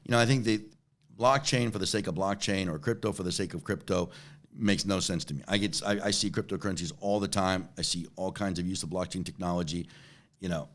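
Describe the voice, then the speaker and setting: Deep-voiced man in his forties. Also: brisk. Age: 40s. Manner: repeats words, often checks in with "right?". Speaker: interview guest, company chief executive. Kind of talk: conversational interview answers.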